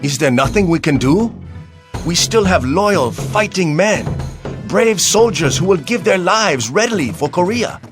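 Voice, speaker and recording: An actor playing an earnest, loyal Korean army lieutenant in a video game, speaking formally and dramatically. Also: male voice, high voice, animated, unhurried, over music. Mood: earnest, urgent.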